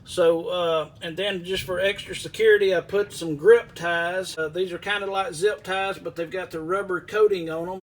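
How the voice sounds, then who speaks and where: A man's voice: high voice, fairly even intonation, moderate pace, hardly any pauses. A man giving a casual hands-on walkthrough in a folksy, rambling way. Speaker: aviation vlogger. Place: inside a small plane at an airport.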